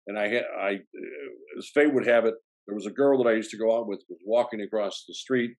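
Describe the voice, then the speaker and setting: Low-voiced Chicago man, rambling. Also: brisk. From south side of Chicago. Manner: rambling, full of "you know" fillers and restarts. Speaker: actor guest. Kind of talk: interview storytelling.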